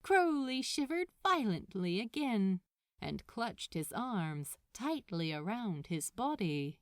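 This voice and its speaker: A woman's voice: mid-range voice, big pitch swings, slow pace, dry recording, studio quality. A woman reading fiction aloud as a scripted story narrator. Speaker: podfic narrator.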